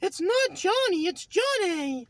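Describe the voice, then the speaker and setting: A man voicing an excitable young-sounding male ship's crewmate with a squeaky, exclaiming cartoon delivery. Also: moderate pace, no room sound. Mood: insistent, friendly.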